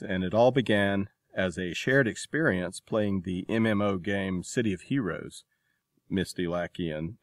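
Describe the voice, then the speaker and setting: A man's voice: lowish voice, no echo, studio quality. A man hosting a podcast, reading scripted announcements and introductions in an informal, enthusiastic manner. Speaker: podcast host.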